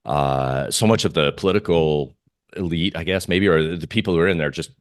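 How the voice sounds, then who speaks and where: A man speaking as a guest in a casual interview about markets, halting and thinking aloud as he goes. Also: extremely low pitch, no echo, clean studio sound. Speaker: trader guest being interviewed.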